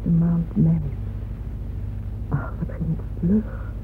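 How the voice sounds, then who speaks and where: An actress playing a grieving, lovesick woman patron who recalls her heartbreak in a halting radio drama monologue. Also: on the low side, steady tone. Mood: wistful waiting. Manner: hushed, halting, repeats words in anguish. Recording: muffled phone-like old radio recording, noisy.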